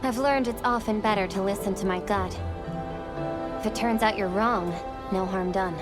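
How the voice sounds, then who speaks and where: A woman playing a composed, decisive seasoned monster hunter in a video game, with a measured, self-assured manner. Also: mid-range voice, lightly acted. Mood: calm, reassuring. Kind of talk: video game cutscene acting. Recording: loud music behind the voice.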